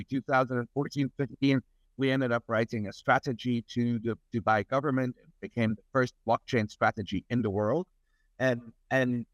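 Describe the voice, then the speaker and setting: A man's voice: mid-range voice, no echo. A middle-aged man from Germany, speaking as an interview guest in an earnest, expansive way, often repeating words as he builds his sentences. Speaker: interview guest, technology advisor. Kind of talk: conversational interview. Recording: dull lo-fi recording.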